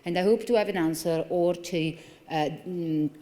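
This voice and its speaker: Italian woman doctor at a lectern, mellow lowish voice, hall reverb, earnest explainer. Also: normal speed. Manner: earnest, explanatory, with frequent fillers and restarts. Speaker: consultant neurologist. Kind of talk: conference lecture. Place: large conference hall. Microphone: lectern microphone. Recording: long reverb of a large hall.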